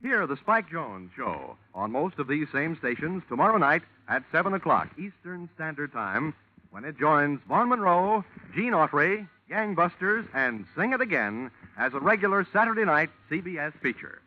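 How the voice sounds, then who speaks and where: A man's voice: fairly high pitch, very animated, normal speed. A man reading a network promotion and the closing credits with a formal, emphatic 1940s announcer delivery. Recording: dull old radio broadcast with music.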